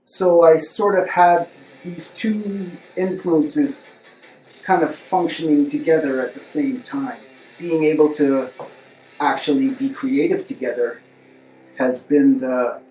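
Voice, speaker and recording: A man who grew up in Barbados, a bassist telling stories in a relaxed, rambling interview. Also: slight background noise. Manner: laid-back, rambling, with fillers and swearing. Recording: roomy echo, faint music.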